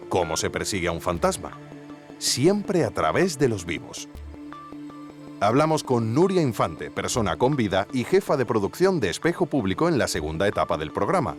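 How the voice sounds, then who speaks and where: Low-voiced, animated podcast investigator man. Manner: hesitant when questioning, measured when narrating. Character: earnest, slightly touchy male podcast investigator. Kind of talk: scripted investigative podcast narration, with interview questions.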